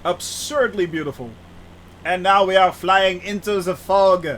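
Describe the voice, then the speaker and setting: A man with a thick Dutch accent chats with his live-stream viewers in a high, light voice. He talks in a halting way, restarting often and laughing easily. A faint hum sits under the recording. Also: steady tone, fairly bright tone. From Netherlands. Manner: chatty, hesitant, many restarts, laughs often. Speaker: flight sim streamer. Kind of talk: casual live-stream chat.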